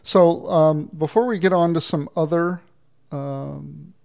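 A man's voice: on the high side, fairly even intonation, slow pace, gravelly, darkish tone. A man hosting an interview, asking questions in a friendly, chatty way. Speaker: interviewer host. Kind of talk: casual interview chat.

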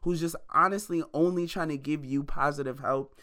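Young man preaching motivation, smooth voice. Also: on the high side, fairly even intonation, normal speed, bright, forceful tone. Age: 20s. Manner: preachy, repeats lines for emphasis; addresses listeners as y'all and baby. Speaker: independent musician opening a podcast. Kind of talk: motivational monologue.

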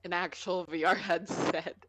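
Low-pitched, excitable, giggly woman. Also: a little slow, no echo, low-quality recording. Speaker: podcast co-host. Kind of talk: casual chat among friends.

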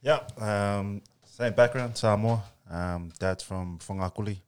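Australian man, very low voice, casual podcast guest, colloquial, hesitant. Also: a little slow, clean tone. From Brisbane, Australia. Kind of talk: casual chat.

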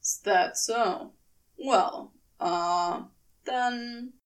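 A woman voicing an awkward, bashful, soft-hearted male demon in a story, with halting, stammering, clipped speech. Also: fairly high pitch, distant mic.

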